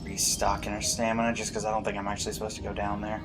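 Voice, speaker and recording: A man's voice: on the low side, monotone, very fast. A man commentating casually on a video game, musing aloud and reading bits of on-screen text. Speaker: gaming streamer reacting to a story quest. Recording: faint game music behind.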